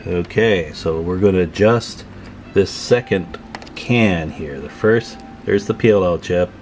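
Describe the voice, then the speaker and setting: A man's voice: low-pitched, a little slow, a little mellow, a little hiss or hum. A man narrating a hands-on repair tutorial in a casual, folksy way as he works. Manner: folksy, informal, talking while working. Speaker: radio repair tutorial host.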